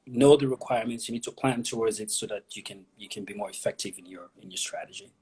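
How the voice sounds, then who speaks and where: A man's voice: lowish voice, quick pace, a little mellow, slightly rough, rough recording. A man from Nigeria, an immigration consultant, explaining pathways as an interview guest, often repeating words as he thinks.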